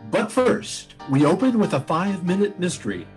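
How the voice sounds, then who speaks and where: A man's voice: high-pitched, very animated, normal speed, over music. A man announcing a radio show from a script in a formal, presentational manner.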